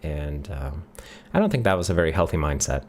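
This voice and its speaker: A middle-aged man from Sweden with a Swedish accent, hosting a live question session from a home office and reading chat questions aloud. He talks in a relaxed way, thinking aloud with many ums. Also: rumbling low, brisk. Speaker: livestream host answering chat questions. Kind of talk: casual live Q&A. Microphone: studio mic on a boom near the face.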